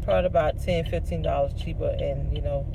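A woman's voice: steady tone, fast talker, creaky. A woman, chatting and rambling to her viewers while she drives. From United States. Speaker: vlogger. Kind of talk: casual chat while driving. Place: inside a car. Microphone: phone on the dashboard. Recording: distant phone mic with loud car rumble.

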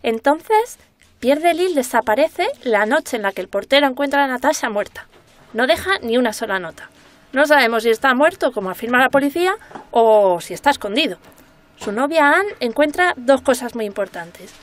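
Radio serial: inquisitive female reporter, excitable, colloquial, slightly high voice. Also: normal speed. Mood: brisk, businesslike. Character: inquisitive, excitable woman journalist investigating a murder. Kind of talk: naturalistic Spanish radio drama dialogue.

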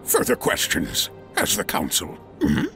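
A man voicing an ancient, wise little alien master with a croaky voice, slow measured speech and inverted word order, in an audio drama. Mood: grave, musing. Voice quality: croaky, gravelly. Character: ancient small wise alien Jedi master, male, calm. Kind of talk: fan audio drama acting. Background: loud music.

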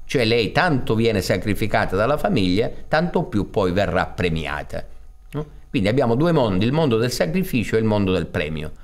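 An older man speaks in a lowish, very animated voice. He presents like a storyteller giving an informal lecture, halting to find a word and then pressing on with emphasis. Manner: halting, searching for words, explanatory with emphatic swings. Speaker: theatre director presenting a radio fairy-tale programme. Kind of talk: informal spoken introduction to a fairy tale, storytelling lecture. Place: indoors on a theatre stage.

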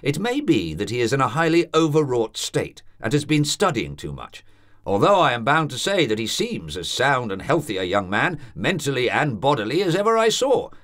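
A man reading as a grave, measured old doctor. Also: mid-range voice, very animated, fairly bright tone, pitched above the performer's own voice. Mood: earnest. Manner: measured, solemn, formal. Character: grave, deliberate older male country doctor.

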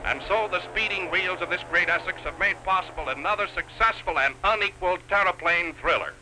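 A man giving 1930s newsreel stunt commentary in an excitable, folksy showman's delivery. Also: high-pitched, brisk. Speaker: newsreel announcer. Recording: noisy 1930s newsreel soundtrack.

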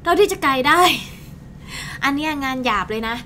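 A woman's voice: sits high, animated, normal speed, sharp tone. A young adult woman from Thailand hosting a game stream with casual, chatty, informal commentary. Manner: chatty and informal, exclaiming in play. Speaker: game channel host. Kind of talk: casual live gameplay commentary. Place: indoor streaming setup at a desk.

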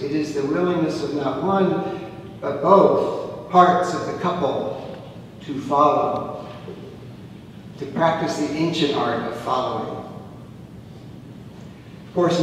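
A man in his sixties preaching a sermon from the pulpit, telling the story with gestures and dropping to a hush on key phrases. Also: high voice, a little hiss or hum. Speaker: pastor. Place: church sanctuary, at the pulpit. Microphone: far camera, room mic. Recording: echoey church hall from far off.

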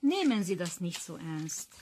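An actress playing an older Holocaust survivor in a German radio crime drama, explaining deliberately with wry bitterness. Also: female voice, lowish voice, big pitch swings, mellow, a little hiss or hum. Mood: wry, confiding.

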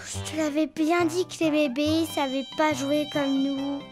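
Actor voicing bossy little girl bear, very high voice, pouty, preschool cartoon, light music. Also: little pitch movement, normal speed, close to an everyday voice. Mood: know-it-all. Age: child character. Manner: childlike, know-it-all. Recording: faint music behind the voice.

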